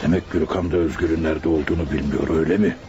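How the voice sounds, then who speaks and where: A very deep male voice plays a scheming restaurant boss in a radio drama. He is measured and probing, wrapping menace in fatherly concern. Faint music sits behind him. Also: steady tone, muffled recording. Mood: calm, calculating. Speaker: voice actor. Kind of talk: Turkish radio drama acting. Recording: radio play with music underneath.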